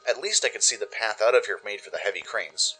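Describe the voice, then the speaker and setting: A man narrating a first-person dramatic story for a radio play, with measured, expressive delivery. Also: fairly low pitch, slightly rough, poor audio. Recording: music under the narration. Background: music.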